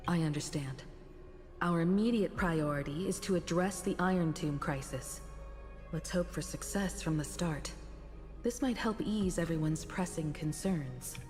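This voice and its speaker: An actress playing a mature, gracious crew leader in a video game, calm, measured and diplomatic. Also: female voice, lowish voice, big-room sound, faint music behind, close to an everyday voice. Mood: composed concern. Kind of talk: scripted video game voice acting.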